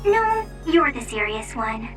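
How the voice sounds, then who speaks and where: A woman's voice: fairly high pitch, big pitch swings, slow, a bit bright, far from the mic, soft background music, exaggerated voice. A woman playing a serious, caring young female soldier in an anime-style video game, earnest and chiding.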